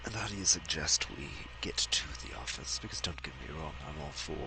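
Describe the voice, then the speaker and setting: A man's voice: rumbling low, lively intonation, brisk, full character voice. A man playing a dry, haughty schemer in a tabletop game, speaking in wordy, formal, sardonic phrases. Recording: clearly noisy background.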